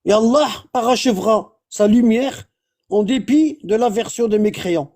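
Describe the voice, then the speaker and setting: A man's voice: high-pitched, normal speed, a little mellow. A man giving informal religious teaching, explaining patiently, repeating himself and checking that he is understood. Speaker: religious instructor.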